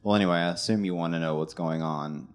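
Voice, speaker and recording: A man's voice: sits low, fairly even intonation, fast. A man, co-hosting a podcast and telling a dating story in a rambling, laid-back way. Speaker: podcast co-host. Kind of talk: casual storytelling chat.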